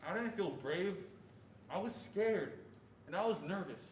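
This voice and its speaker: A young man performing as an adult man who earnestly recalls his fearful boyhood in a deliberate stage reading. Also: sits high, expressive. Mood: earnest, reflective. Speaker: student performer reading as narrator. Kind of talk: reader's theatre narration of a first-person memoir. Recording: echoey room with faint noise.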